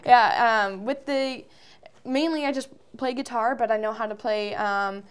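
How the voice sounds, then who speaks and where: Casting: young American woman, early twenties, smooth clear voice, chatty informal interview guest. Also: on the high side, a little slow. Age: early 20s. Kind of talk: casual interview answers.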